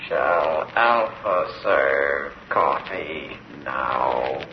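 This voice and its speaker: An actor playing an obedient, lumbering metal robot servant in a vintage radio drama, speaking in stilted, halting, mechanical phrases. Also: male voice, extremely low pitch, full character voice. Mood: flat, obedient. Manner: stilted, mechanical, halting third-person speech. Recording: muffled, noisy old radio recording.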